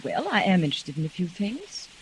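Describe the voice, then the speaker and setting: A woman's voice: lowish voice, very animated, brisk. A woman playing a frightened, suspicious, brooding wife in tense, earnest 1940s radio acting. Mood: guarded, suspicious. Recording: noisy old 1940s radio recording.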